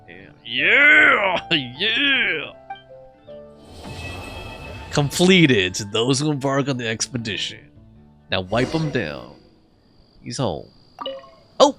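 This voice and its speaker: A man commenting casually as he plays a game. His delivery is informal and reactive, in short bursts with very wide swings of pitch. Light game music runs behind him. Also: sing-song, extremely slow, pauses often, pushed and bright. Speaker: game streamer.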